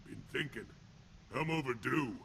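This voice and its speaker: A sneering alien thug from a video game, with a heavily growling, rasping voice and a sharp, hard edge. He talks in blunt, crude street slang like a hardened enforcer fresh out of prison. Faint noise sits behind him. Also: medium pitch, a little slow, rough recording. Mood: menacing. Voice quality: gravelly, rough. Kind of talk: video game character acting.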